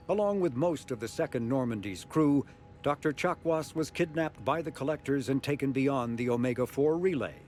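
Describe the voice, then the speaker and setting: A man reading scripted encyclopedic narration in a formal, measured, informative manner. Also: mid-range voice, a little mellow. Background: faint music.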